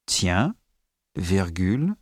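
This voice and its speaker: A man reading a school dictation text slowly and deliberately, speaking the punctuation marks aloud. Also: low-pitched, very animated, dry recording, clean studio sound. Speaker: dictation reader.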